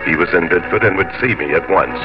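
A low-pitched man acting a gallant Elizabethan sea captain spins his tale with gruff, earnest declamation. The old radio drama sound is muffled, with prominent music behind him. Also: close to an everyday voice. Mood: brisk narrating. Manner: declamatory, measured storytelling. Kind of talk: 1950s radio drama acting, first-person narration.

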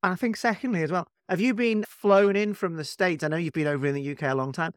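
A man's voice: sits high, fast talker, no room sound, studio quality. A man hosting an interview, asking conversational, probing questions. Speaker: podcast host, interviewer.

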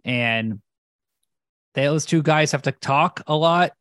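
A man's voice: on the high side, slow pace, a bit bright, clear, resonant tone, no room sound, studio quality. A man in a casual, joking podcast conversation about movies, often acting out lines. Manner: joking, acts out quotes. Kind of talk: casual comedic chat.

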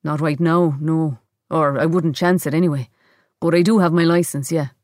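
A woman's voice: low-pitched, steady tone, moderate pace, a little mellow, dry recording, clean studio sound, lightly acted. A woman voicing a guarded, laconic young man in a novel reading. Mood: guarded, terse. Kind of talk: audiobook-style novel reading.

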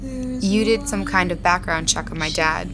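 A guarded, low-voiced woman. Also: animated, a little slow, over music. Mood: guarded, questioning. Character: guarded, challenging woman, loyal daughter. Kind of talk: audio drama dialogue.

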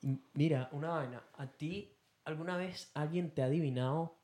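A man's voice: on the high side, moderate pace, over a noisy crowd. A man with a Venezuelan accent co-hosting a podcast, chatting casually and telling jokey, slangy anecdotes in which he acts out the dialogue. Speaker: podcast co-host.

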